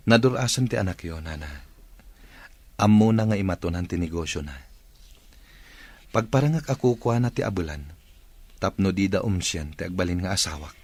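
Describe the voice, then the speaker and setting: A man's voice: sits low, lively intonation, normal speed, long pauses, darkish tone, no echo. A man with an Ilocano accent playing an earnest, devoted young suitor and dutiful son in a Filipino radio drama.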